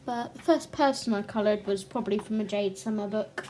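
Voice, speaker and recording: A young woman hosting a colouring channel, chatting casually to camera in a croaky, short-of-breath voice, pausing now and then to clear her throat. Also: mid-range voice, dark tone, slight background noise.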